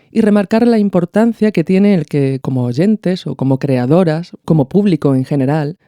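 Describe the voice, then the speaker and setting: A woman's voice: lowish voice, mellow, dry recording, clean studio sound. A woman with a Peninsular Spanish accent hosting a podcast, speaking in a thoughtful, informal, reflective way. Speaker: podcast host. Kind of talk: reflective commentary with a literary reading.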